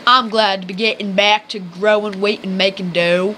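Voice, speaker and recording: A woman acting a bright-voiced scrappy country hick. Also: mid-range voice, steady tone, normal speed, a little hiss or hum, lightly acted. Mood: folksy, cocky. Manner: folksy, ungrammatical, slangy talk. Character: scrappy country hick farmer turned rail rider. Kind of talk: amateur 1930s radio skit acting.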